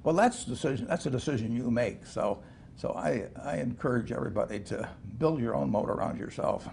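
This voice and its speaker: An older man talking as an interview guest, halting with many restarts and chuckles, in a studio set like a living room. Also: mid-range voice, lively intonation, creaky, darkish tone, a little hiss or hum. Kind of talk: casual interview talk.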